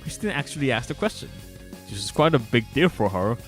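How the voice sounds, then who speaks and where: A man's voice: medium pitch, very animated, a little fast. A man streaming, reading narration from their own visual novel aloud with casual asides. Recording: music bed behind voice. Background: guitar music.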